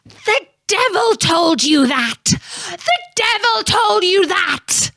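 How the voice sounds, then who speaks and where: A woman voicing a gleeful, bargaining, fierce-tempered little goblin man in a children's storybook, with drawn-out, emphatic delivery. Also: extremely high pitch, animated, pushed and bright, no room sound, voice pushed up, heavily acted. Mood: furious.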